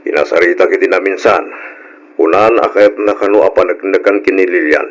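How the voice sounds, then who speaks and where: An actor playing an older, easygoing, wry father in an old radio drama, with an animated bantering manner. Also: low voice, a little fast, natural voice. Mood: wry, amused.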